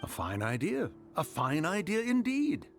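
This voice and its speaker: Ancient game immortal, courteous, stately, ornate phrasing, very lively intonation, music behind. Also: male voice, fairly high pitch, unhurried, exaggerated voice. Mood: pleased, genial. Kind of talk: fantasy game cutscene acting.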